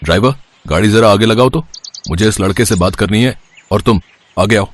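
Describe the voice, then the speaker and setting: A man voicing a wealthy, trusting factory owner, measured and reassuring, in a moral story. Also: on the low side, a little hiss or hum, close to an everyday voice. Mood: questioning, firm. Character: kindly, trusting wealthy male factory owner, calm boss. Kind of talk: Hindi moral story voice acting.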